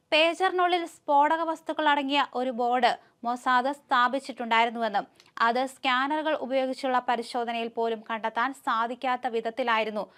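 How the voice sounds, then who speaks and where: High-pitched woman reading news. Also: a bit bright. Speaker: news presenter. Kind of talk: scripted news narration.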